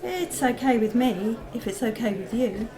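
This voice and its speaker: An actress playing a sceptical, outspoken wife with a pointed, questioning manner in a radio drama. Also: female voice, a little high, lively intonation, moderate pace, a crowd in the background, low-quality recording. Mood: pointed, anxious.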